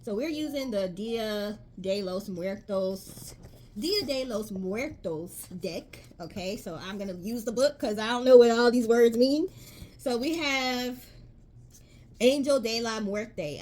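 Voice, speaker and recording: A woman's voice: on the high side, darkish tone, slight background noise. Chatty woman tarot reader, emphatic. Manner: chatty, emphatic, reads card text aloud. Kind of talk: casual tarot reading to viewers.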